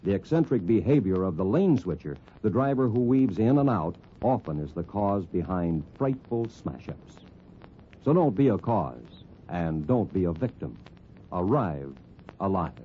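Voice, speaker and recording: A man's voice: deep voice, big pitch swings, a little slow. A man reading a 1950s public service announcement in a formal, emphatic announcer's manner. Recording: dull old radio recording, faint noise.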